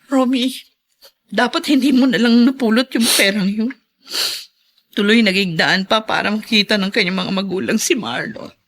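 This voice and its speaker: Radio drama mother, played by an actress: protective, worried, bitter, plaintive delivery, bright tense voice at medium pitch. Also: normal speed, lightly acted. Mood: bitter, defensive.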